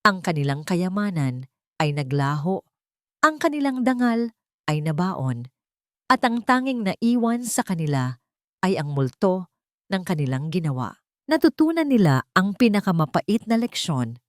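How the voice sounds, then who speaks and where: Female narrator, low register, dry close sound, steady read for an audio drama story. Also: unhurried, pauses often, no room sound.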